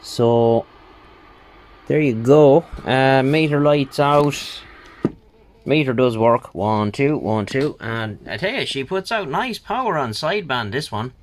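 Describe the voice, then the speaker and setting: An Irish man talking casually through a repair job, thinking aloud in an unhurried, colloquial way. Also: medium pitch. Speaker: radio repair technician. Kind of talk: casual spoken bench walkthrough. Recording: steady background noise, bench clicks.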